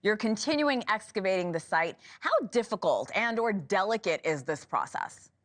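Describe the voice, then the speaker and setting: A woman's voice: medium pitch, very animated, normal speed, fairly bright tone. A woman hosting a TV interview show, introducing a guest and asking questions in a polished, upbeat presenter style. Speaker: TV show host.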